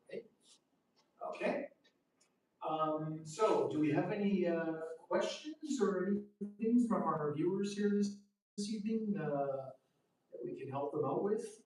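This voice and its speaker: A man's voice: a little high, darkish tone. A middle-aged Canadian man with a Canadian accent, an English teacher and teacher trainer talking encouragingly on a live stream, chatty and full of fillers. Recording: echoey room, voice heard from a distance.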